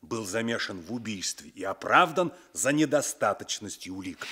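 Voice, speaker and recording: Gruff bully of a sergeant, husky lowish male voice, 1960s radio drama. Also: animated, normal speed, bright, forceful tone, heavily acted. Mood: knowing. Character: gruff, bullying police sergeant deferring to his captain.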